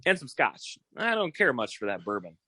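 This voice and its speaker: A man's voice: mid-range voice, huge pitch swings, normal speed, pushed and bright, husky, dry recording, exaggerated voice. Man playing a brash young radio detective, dry sound. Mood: cheerfully brash. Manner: cheeky, casual. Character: brash, nonchalant young male would-be detective. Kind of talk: 1940s radio acting.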